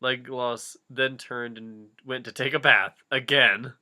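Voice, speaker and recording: A man's voice: medium pitch, fairly even intonation, unhurried, sharp tone. A man giving a comedic reading of fan fiction, breaking off into casual, jokey asides.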